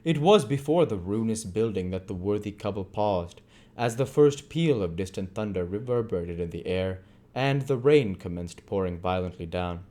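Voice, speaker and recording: A man's voice: lowish voice, expressive, normal speed, few pauses, mellow. A man reading literary narration aloud as an amateur audiobook reader.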